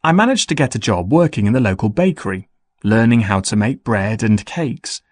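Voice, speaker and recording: A man playing a busy, friendly young business student in a scripted, clearly enunciated listening-test dialogue. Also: lowish voice, expressive, normal speed, no echo. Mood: earnest, friendly.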